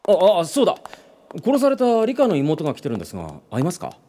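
A man's voice: high-pitched, wildly swooping pitch, fast, dark tone, full character voice. An actor playing a polite, hesitant young male detective deferring to a superior in a radio drama. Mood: eager, hesitant.

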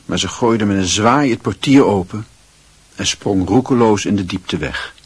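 A man with a husky voice reading literary narration as a radio play narrator. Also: lowish voice, normal speed, no room sound.

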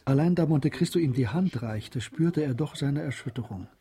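A man's voice: medium pitch, animated, moderate pace, warm tone, natural voice. A man narrating a German radio drama as a composed literary storyteller. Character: composed storyteller of a period novel.

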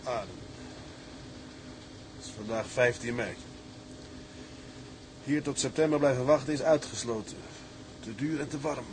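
A man acting as a decisive tugboat captain in a seafaring radio play. He speaks at an ordinary male pitch, deliberate and commanding, like someone weighing a risk. There is clear ambient noise around the voice. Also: close to an everyday voice. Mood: musing, measured. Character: resolute tugboat captain, thoughtful, decisive, early 1900s seaman. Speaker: actor in a radio drama. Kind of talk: Dutch audio drama acting.

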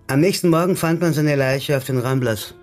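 An actor playing a well-informed political comrade of a detective in a radio crime drama, speaking in a wry, knowing manner. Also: male voice, on the high side, normal speed, close to an everyday voice. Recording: studio radio drama with music underneath.

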